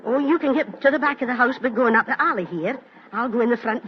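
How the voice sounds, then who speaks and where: An actress playing a brisk, homely housekeeper with a Scottish accent, in old radio drama style. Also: female voice, a little high, natural voice. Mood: brisk, chatty. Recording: muffled old radio recording, background noise.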